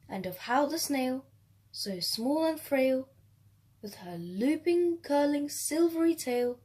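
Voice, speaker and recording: A woman reading a rhyming children's story aloud in an expressive, measured way. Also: fairly high pitch, husky.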